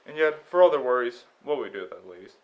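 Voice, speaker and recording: A man's voice: medium pitch, sing-song, rapid-fire, natural voice. A man acting in a stage play as a condescending county attorney, questioning people in a smug, probing way. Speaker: stage actor. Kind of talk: amateur stage play dialogue. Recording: dull, muffled stage recording.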